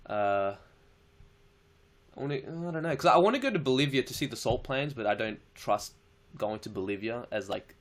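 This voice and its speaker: A young man with an Australian accent talks casually to his live-stream viewers, loose and slangy with the odd swear word. His voice sits at an ordinary middle pitch, relaxed and conversational. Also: normal speed.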